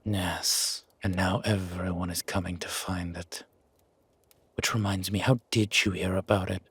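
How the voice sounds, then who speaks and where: A man voicing a gruff, wary werewolf who guards his sanctuary, husky and measured, in a roleplay audio drama. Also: sits low, flat delivery, fairly bright tone, no echo, heavily acted. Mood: calm, measured. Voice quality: husky, gravelly rasp. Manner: slow, measured, dry, guarded. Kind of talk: roleplay audio drama, intimate one-sided dialogue.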